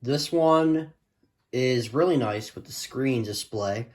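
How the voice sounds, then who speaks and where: Man, mid-range voice, casual hobbyist show-and-tell, halting, trailing off, unscripted. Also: mid-range voice, a little mellow. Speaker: hobbyist collector presenting a radio collection.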